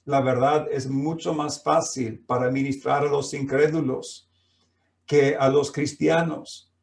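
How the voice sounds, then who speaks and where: Slow, gravelly man preaching in an echoey room. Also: mid-range voice, steady tone, darkish tone. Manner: slow preaching with frequent fillers and restarts. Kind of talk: sermon. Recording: echoey room, mic at a distance.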